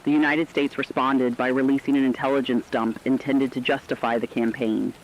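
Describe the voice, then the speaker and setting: A man's voice: medium pitch, level tone, fairly quick, a little hiss or hum, natural voice, low-quality recording. A man playing a serious radio correspondent, giving a scripted emergency war report with formal, emphatic, clipped phrasing. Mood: serious, urgent.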